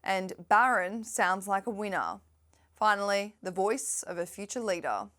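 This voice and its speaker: A young adult woman presenting a scripted news roundup in a brisk, lightly sardonic manner. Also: medium pitch, animated, fairly bright tone.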